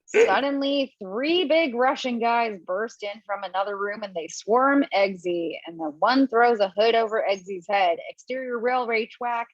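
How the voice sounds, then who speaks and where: A woman reading the stage directions in an amateur table read, brisk and quick to laugh at her own slips. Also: a little high, lo-fi sound. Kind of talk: amateur table read over video call.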